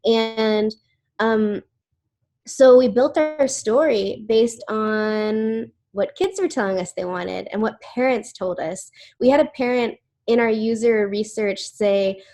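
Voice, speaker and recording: A woman's voice: on the high side, slow pace, dark tone. A woman answering questions in a webinar over a video call, chatty, with many fillers and restarts. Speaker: webinar speaker.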